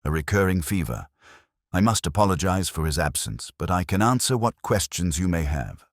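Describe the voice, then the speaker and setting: Audiobook housekeeper played by a male narrator: efficient woman, crisp, evasive, formal, animated pitch, dry sound. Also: normal speed, no room sound. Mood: crisp, apologetic. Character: crisp, efficient, guarded female housekeeper of indeterminate age.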